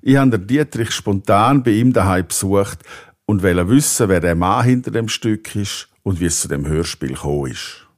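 A man with a fairly low voice hosts a podcast. He reads prepared narration, introducing pieces and guests to his listeners. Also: expressive, normal speed. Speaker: podcast host.

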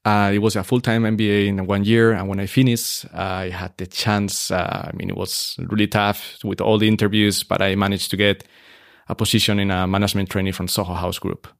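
A man, a hotel expert talking relaxed in an interview, with many fillers and restarts. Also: lowish voice, a little fast, creaky, dry recording, clean studio sound. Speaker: hotel operations expert, guest. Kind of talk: casual interview conversation.

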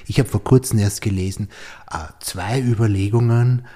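Male podcast host, Austrian, low and mellow, thoughtful, hesitant phrasing. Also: steady tone, normal speed. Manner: hesitant, repeats words while formulating thoughts. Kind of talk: reflective podcast conversation.

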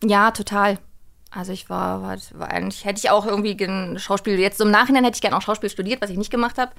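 Creaky-voiced woman chatting informally. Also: medium pitch, fairly even intonation, fast talker, a bit bright. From Berlin, Germany. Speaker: guest, voice actress and audio drama director. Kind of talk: casual interview talk.

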